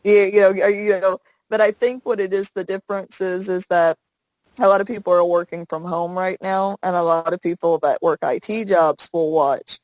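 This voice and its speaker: A woman's voice: fairly low pitch, steady tone, moderate pace, a little mellow, no room sound, poor audio. A young woman from the American South, a streamer, chatting casually in an interview and rambling with lots of fillers. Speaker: Twitch streamer, guest. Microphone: headset.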